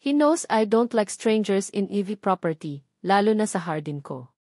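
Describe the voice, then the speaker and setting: A woman's voice: mid-range voice, big pitch swings, moderate pace, darkish tone, no room sound. A territorial, suspicious lady of the house, as a woman narrator puts her on in a romance audio story. She stresses her words with haughty sarcasm and indignation. The recording is dry and close. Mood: annoyed, emphatic. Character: haughty, territorial older single woman, suspicious garden owner.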